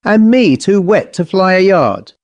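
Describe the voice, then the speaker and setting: Guy doing a grumpy bossy talking owl, working class english accent, drops his aitches, curt and cross, kinda high, storybook style. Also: big pitch swings, measured pace, darkish tone, dry recording, muffled recording. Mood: startled, urgent. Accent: English, colloquial working-class. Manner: indignant, curt, dropping aitches. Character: angry, bossy, dishevelled wet old-fashioned talking owl. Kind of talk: storybook character voice.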